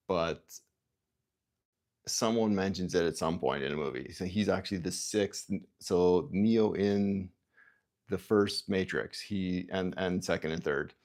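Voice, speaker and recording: A man from Canada hosting a livestream, musing aloud in halting, self-interrupting sentences and reading out viewer comments. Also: low-pitched, a little mellow. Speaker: livestream host. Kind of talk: rambling livestream chat.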